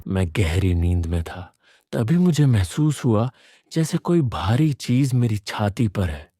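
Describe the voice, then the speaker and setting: Slow, low-voiced man telling horror stories. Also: sing-song, dark tone, dry recording, studio quality. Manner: suspenseful, deliberate storytelling. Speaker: horror story narrator. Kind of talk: first-person scripted horror narration.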